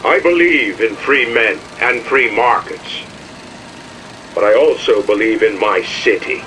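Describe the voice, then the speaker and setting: An actor playing a pompous industrialist ruler, delivering theatrical, oratorical propaganda with emphatic grandeur. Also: male voice, on the low side, unhurried, bright, forceful tone, a little hiss or hum, rough recording. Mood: stern, indignant. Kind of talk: propaganda address, theatrical game voice acting.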